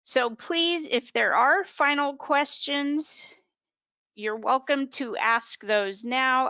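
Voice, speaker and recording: A woman's voice: a little high, sharp tone, clear, resonant tone, dry recording. A woman hosting a webinar, polite and gracious as she invites final questions. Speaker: webinar host. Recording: dry, dull lo-fi sound.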